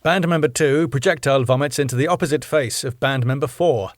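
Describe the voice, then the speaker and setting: A man reading his own sitcom script aloud, stage directions included, with a lively delivery. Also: mid-range voice, fairly quick, no echo, studio quality.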